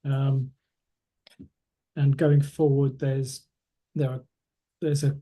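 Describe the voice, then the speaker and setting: Middle-aged developer, husky, very dark, flat, measured technical talk. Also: male voice, mid-range voice. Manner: measured, technical, many fillers. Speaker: long-time open-source developer. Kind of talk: casual self-introduction on a video call. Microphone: video call.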